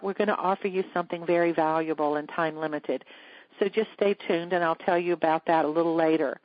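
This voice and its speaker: Woman seminar host, measured earnest delivery, dry dull phone-call sound. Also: on the low side, no echo. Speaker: leadership coach and seminar host. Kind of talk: teleseminar presentation.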